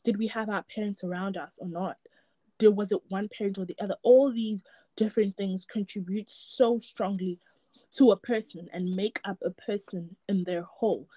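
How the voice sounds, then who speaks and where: Mellow-voiced woman, thoughtful. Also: medium pitch, steady tone, moderate pace, dry recording. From South Africa. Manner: thoughtful, repeats words while searching for them. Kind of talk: casual reflective chat.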